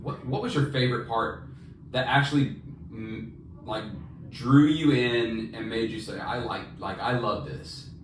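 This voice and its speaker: A man's voice: medium pitch, unhurried. A man co-hosting a podcast, chatting casually with informal, bro-ish slang. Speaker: podcast co-host; fiction writer. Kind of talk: casual chat. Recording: very echoey room, distant mic, faint noise.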